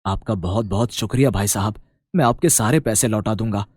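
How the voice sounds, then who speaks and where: A man puts on a troubled businessman husband in an animated tale. The voice is lowered and subdued, politely apologetic and deferential, with a close, dry sound. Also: fairly quick, dry recording, natural voice. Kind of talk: animated moral story character dialogue.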